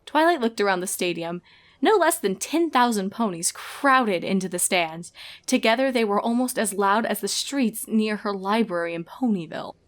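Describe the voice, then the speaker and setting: A woman reading scripted story narration in a measured, expressive storytelling manner. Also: mid-range voice. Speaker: fan fiction reader and narrator.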